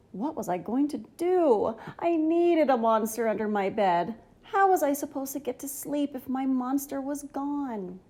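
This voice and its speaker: A woman's voice: fairly high pitch, dark tone. A woman reading a children's story aloud in an enthusiastic, dramatic narrating style. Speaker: storybook reader.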